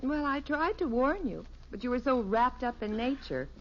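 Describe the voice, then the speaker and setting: An actress playing a calm, practical suburban wife and mother in a 1950s radio sitcom, gently teasing and matter-of-fact. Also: high-pitched, moderate pace. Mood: wry, amused. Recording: dull noisy old radio, audience behind.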